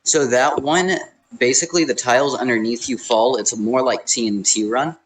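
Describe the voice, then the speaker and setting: Matter-of-fact young man, mid-pitched. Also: moderate pace, poor audio. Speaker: podcast co-host. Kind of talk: casual chat, explaining.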